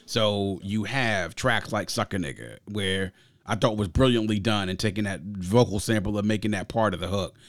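A man's voice: fairly low pitch, normal speed, no echo. Enthusiastic male music podcast host, dry close sound. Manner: opinionated, emphatic, slangy. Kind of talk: casual enthusiastic album commentary.

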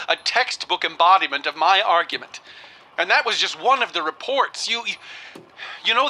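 An actor playing an earnest, argumentative 1920s scholar, musing haltingly and insistently as he dictates onto an old cylinder. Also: high-pitched, bright, forceful tone, full character voice, low-quality recording. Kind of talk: 1920s radio drama dictation.

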